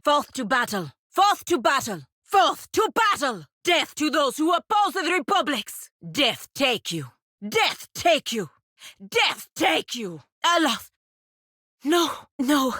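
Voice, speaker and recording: A proud woman paladin from a fantasy video game, curt and sardonic. Her delivery is unhurried yet clipped and commanding, swinging widely in pitch. The sound is close and dry, with no room around it. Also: sharp tone, no room sound, heavily acted. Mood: battle cry, then alarmed. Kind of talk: video game character voice lines and battle barks.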